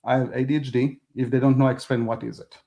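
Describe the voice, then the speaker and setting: A man whose first language is Hebrew, a guest talking casually in an interview, halting and restarting as he speaks in non-native phrasing. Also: mid-range voice, flat delivery, warm tone, dull audio. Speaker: podcast guest.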